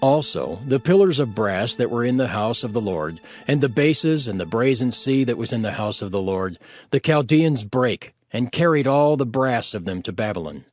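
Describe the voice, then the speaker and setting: A man narrating scripture from a script in a measured, solemn manner, phrase by phrase. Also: mid-range voice, lively intonation, soft background music.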